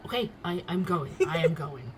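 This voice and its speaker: A man acting a nervous, eager young barista and podcaster in an urban fantasy audio drama, halting and apologetic. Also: a little high, expressive, a little hiss or hum, lightly acted. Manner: halting, self-interrupting, awkward.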